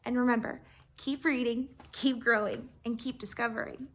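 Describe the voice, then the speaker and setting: A woman teacher doing an expressive read-aloud of a picture book for her students, adding explanatory asides in an animated storytelling manner. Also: on the high side, normal speed.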